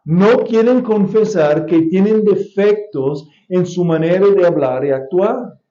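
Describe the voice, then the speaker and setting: Pastor preaching in Spanish, echoey church. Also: male voice, high voice, warm tone. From United States. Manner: deliberate preaching with repeated key phrases and occasional restarts. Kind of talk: sermon. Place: church sanctuary. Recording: echoey church sound, slightly dull.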